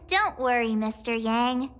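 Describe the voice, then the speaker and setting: A woman's voice: a little high, animated, takes it slowly, a bit bright. A woman voicing a cheerful, chatty teenage girl traveler in a video game. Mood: bubbly.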